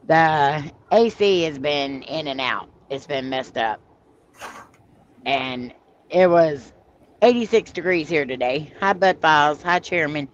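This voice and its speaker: A woman hosting a live stream over a video call, telling stories in a chatty, animated way and laughing as she goes. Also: low voice, a little hiss or hum. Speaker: host of a paranormal live stream. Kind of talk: casual storytelling chat. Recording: slightly muffled video-call audio.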